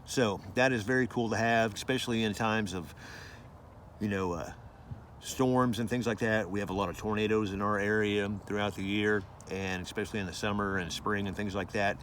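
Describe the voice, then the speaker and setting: A man's voice: lowish voice, fairly even intonation, moderate pace, fairly bright tone, slight background noise. A man giving a casual hands-on product review, explaining things informally and loosely with some repetition.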